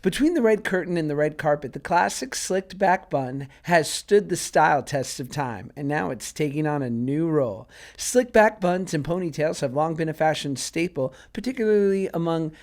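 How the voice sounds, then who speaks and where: A man's voice: fairly high pitch, animated, darkish tone. A man co-hosting a podcast, chatting with stumbling restarts and reading out stories with jokes. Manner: joking, halting with restarts in chat. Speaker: podcast co-host. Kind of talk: casual chat and reading out stories.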